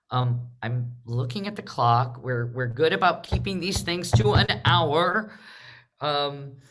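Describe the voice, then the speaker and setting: A woman with a bright, forceful voice hosts a live online event. Her delivery is slow and casual, broken into short phrases with frequent little check-ins. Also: very deep voice. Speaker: host of a live theatre discussion. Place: video call.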